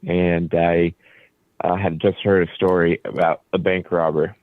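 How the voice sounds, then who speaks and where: A man's voice: deep voice, little pitch movement, a little slow, dry recording. A man whose first language is Croatian, a band leader chatting as a radio guest and explaining things at length with easy fillers. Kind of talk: casual radio interview. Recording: dry, phone-like narrow sound.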